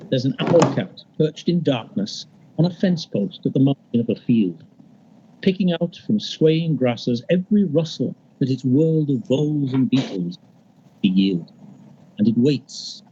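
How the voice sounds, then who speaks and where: A man with a Liverpool accent reads his poem aloud over a video call, pausing often as he talks. His voice is mellow and dark. The audio is dull and lo-fi, with faint hiss behind it. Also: medium pitch. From Liverpool, England. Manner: reflective, unhurried storytelling with pauses. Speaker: poet. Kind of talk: reading his poem at an online gathering.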